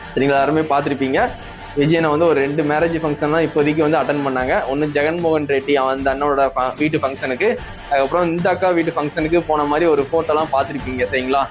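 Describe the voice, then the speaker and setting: A man's voice: on the high side, steady tone, fast talker, faint music behind. A man giving casual, conversational commentary, circling back over points and often adding tag questions. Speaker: online commentator.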